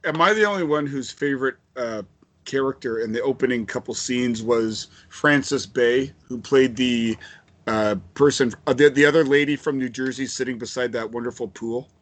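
A man's voice: medium pitch, normal speed. A man co-hosting a film podcast in a casual, chatty style. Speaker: podcast co-host. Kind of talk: casual chat.

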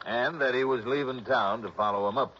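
An actor playing an easygoing police captain in a 1960s radio drama, talking in an unhurried, folksy, musing way. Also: male voice, mid-range voice, pushed and bright, lightly acted. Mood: matter-of-fact. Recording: dull, noisy old radio recording.

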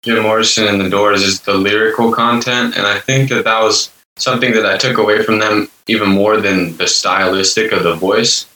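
A man in his twenties with a low voice, a guest musician talking earnestly and rambling with lots of fillers and repeats. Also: steady tone, moderate pace, few pauses, sharp tone. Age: 20s. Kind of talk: casual interview chat. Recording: echoey room, distant from the mic.

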